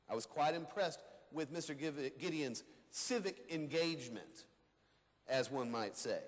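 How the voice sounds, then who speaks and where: Proud veteran defense lawyer played by a man: higher male register, direct stage address, reverberant room. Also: close to an everyday voice. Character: Fred Turner, seasoned male defense attorney, proud and earnest. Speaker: reader in a readers theater re-enactment. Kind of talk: scripted first-person dramatic reading. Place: large hall before an audience. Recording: echoey hall with long reverb.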